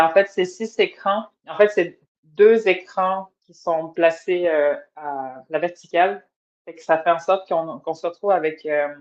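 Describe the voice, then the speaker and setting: A woman from an Atikamekw community, a guest artist speaking casually and hesitantly on a podcast. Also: fairly low pitch. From Atikamekw community in Quebec. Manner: hesitant, many fillers and restarts. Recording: dull lo-fi sound.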